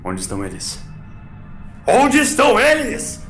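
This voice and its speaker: Menacing Brazilian father, voiced by a male narrator, barking questions, raised pitch, music underneath. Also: huge pitch swings, unhurried, fairly bright tone, echoey room, heavily acted. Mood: frantic anger. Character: menacing, frantic father shaking his son.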